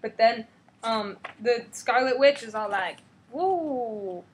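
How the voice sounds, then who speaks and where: A woman casually retelling a story in a goofy, slangy, animated way, as if to a friend. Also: on the high side, unhurried. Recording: noisy webcam recording.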